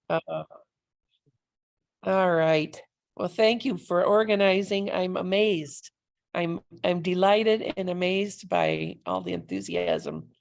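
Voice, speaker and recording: A woman's voice: fairly low pitch, very animated, slow, gravelly, long pauses. A woman chatting enthusiastically on a video call, effusive and welcoming. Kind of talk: casual video call chat.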